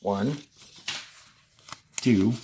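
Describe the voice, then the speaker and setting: A man who teaches fourth grade, reading a textbook aloud with deliberate emphasis on key words and short instructional asides. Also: on the low side, mellow, slight background noise.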